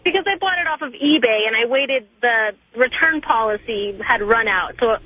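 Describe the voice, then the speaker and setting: High-voiced woman on the phone. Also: lively intonation, normal speed, a little hiss or hum. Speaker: radio show caller. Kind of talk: casual phone storytelling. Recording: tinny phone line.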